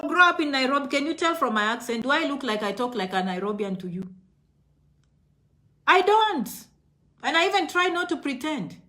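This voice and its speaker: A woman with a Kenyan accent speaks straight to her viewers in a casual motivational vlog. Her voice sits fairly high and is very bright and forceful. She is emphatic, repeating short phrases to press her point. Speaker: online video host.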